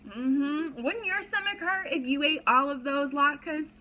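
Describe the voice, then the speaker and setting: A woman's voice: high voice, measured pace, pushed and bright, a little hiss or hum. A woman reading a children's story aloud as a preschool teacher, dramatically and expressively, with simple explanations for young listeners.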